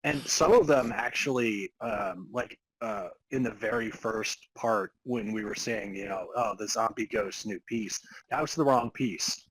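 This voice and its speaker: A husky-voiced man hosts and narrates a comic fan fiction reading. He is halting and amused, with casual asides, in a dry, close recording. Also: fairly low pitch, no echo, poor audio. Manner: halting, amused delivery of broken sentences. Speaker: podcast narrator and co-host.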